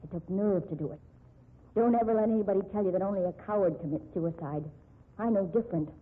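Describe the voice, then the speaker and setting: An actress playing strong-willed women of a detective story in an emphatic 1950s radio drama style, with a husky voice on an old muffled recording. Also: female voice, medium pitch, fast, natural voice. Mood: bitter, defiant. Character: women in a detective story: cajoling girlfriend, bitter rescued patient.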